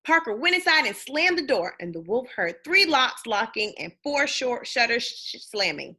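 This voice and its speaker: Very animated woman reading a children's story. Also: fairly high pitch, breathy edge. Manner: dramatic, pausing to ask listeners questions. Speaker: storybook reader. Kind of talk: animated children's read-aloud.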